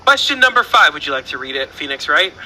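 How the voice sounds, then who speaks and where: Bright-voiced Southern man hosting trivia. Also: a little high, big pitch swings, fast talker, a little hiss or hum, poor audio. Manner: rambling, playful, lots of fillers and restarts. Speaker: trivia host. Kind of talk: casual online trivia show.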